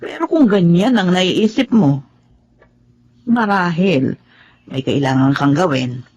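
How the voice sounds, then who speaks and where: Animated elderly Filipino mother. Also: on the low side, slow, slightly rough, dull audio, full character voice. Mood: earnest, consoling. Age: older. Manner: gently scolding, motherly. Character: caring, scolding, devout elderly mother and grandmother. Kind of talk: Filipino television drama acting.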